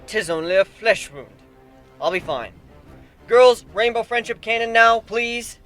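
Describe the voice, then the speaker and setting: A man's voice: very high-pitched, animated, a little slow, a bit bright, voice pushed up, heavily acted. A man voicing a sarcastic, cocky male griffin warrior in a dramatic story reading, mocking and emphatic. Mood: strained, urgent. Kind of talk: dramatic fan fiction reading. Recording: background music under the voice.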